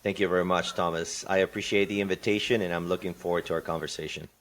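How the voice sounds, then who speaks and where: A man from Peru, answering interview questions as a podcast guest in a thoughtful way, pausing and restarting often. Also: low-pitched, fairly even intonation, a bit bright. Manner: thoughtful, many fillers and restarts. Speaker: podcast guest, construction company partner.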